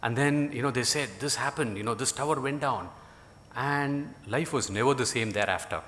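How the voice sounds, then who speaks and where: Middle-aged Indian man, native Tamil speaker; bright voice, rambling speech with dry humor; reverberant hall sound. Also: medium pitch, normal speed. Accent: Indian English. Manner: rambling, many "you know" fillers, dry humor. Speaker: guest speaker. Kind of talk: conference talk.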